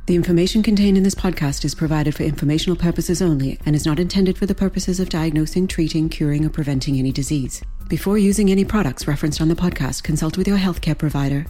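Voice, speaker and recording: A woman with a deep, dark voice reads a podcast disclaimer at high speed. Her delivery is brisk and even, and faint music sits underneath. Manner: brisk, even legal read. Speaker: disclaimer announcer. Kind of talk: scripted read disclaimer.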